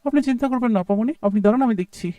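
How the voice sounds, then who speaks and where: A man's voice: very high voice, dark tone, no room sound, distant mic. Man acting sly, falsely polite rickshaw driver. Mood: falsely concerned. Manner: ingratiating aloud, slow and sly when plotting. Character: scheming male autorickshaw driver, falsely polite to his passenger. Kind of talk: dramatic cartoon dialogue.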